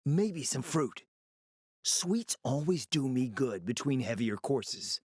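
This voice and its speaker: A man's voice: medium pitch, big pitch swings, slow, a little mellow, husky, no room sound, clean studio sound, full character voice. An actor playing a smug, flirtatious, decadent gourmand fixer in a video game, speaking in a pompous, theatrical, condescending way and savoring his words. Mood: sensual, savoring. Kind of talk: video game character acting.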